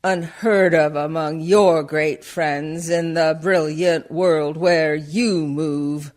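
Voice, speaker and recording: Male actor as dead villager, quietly proud and unconquered, drawn-out measured verse, slightly high voice, radio drama. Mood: quietly triumphant.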